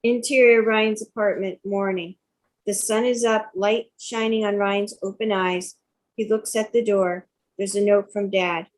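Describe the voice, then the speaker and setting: Slow-reading woman narrator. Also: medium pitch, fairly even intonation. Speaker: stage-direction reader. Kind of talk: scripted narration.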